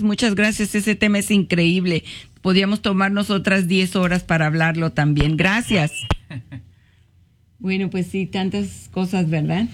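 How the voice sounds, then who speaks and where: A woman hosting a community radio talk show, thanking callers and guests, often adding "¿verdad?" as she speaks. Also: on the low side. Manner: warm thanks, frequent "¿verdad?" tags.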